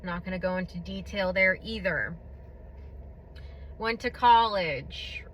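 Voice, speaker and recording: A woman with a booming, bright voice who speaks slowly and bluntly, pausing often around her fillers. She tells a personal testimony in an unfiltered, candid way as the host of her own podcast. Her pitch sits a little low for a woman. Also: slight background noise. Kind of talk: personal testimony, casual monologue.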